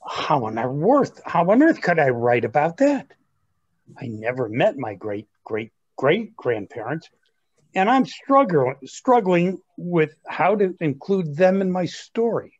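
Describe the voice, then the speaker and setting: A man acting as a doubtful teenage boy with a very animated, swinging pitch. He asks things hesitantly in a staged read-through dialogue, and the sound is dull, like a lo-fi video call. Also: measured pace. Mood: baffled, skeptical. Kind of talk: amateur reader's theatre dialogue over video call.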